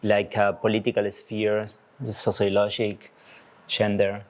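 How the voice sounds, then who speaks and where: A man from Argentina, an artist with a biology background, explaining concepts in a talk, halting and searching for words. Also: lowish voice, monotone, darkish tone. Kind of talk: presenting a talk to an audience.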